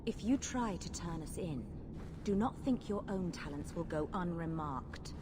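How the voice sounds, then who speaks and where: A woman's voice: on the low side, animated, measured pace. An actress voicing a proud, desperate woman mage in a video game, impassioned and formal. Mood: desperate, wary. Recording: noisy ambience behind voice.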